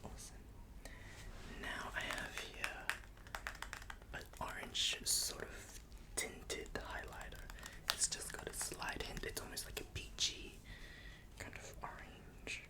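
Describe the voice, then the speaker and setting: A man doing an ASMR roleplay in a breathy whisper, speaking gently and reassuringly like a big brother, close to the microphone. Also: takes it slowly, long pauses. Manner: gentle, reassuring big-brother patter, repeating words softly.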